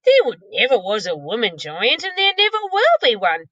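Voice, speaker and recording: A woman's voice: on the high side, normal speed, no room sound, muffled recording, voice pushed up. A woman voicing a huge, very old, kindly giant. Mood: storytelling. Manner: muddled grammar, lively storybook delivery.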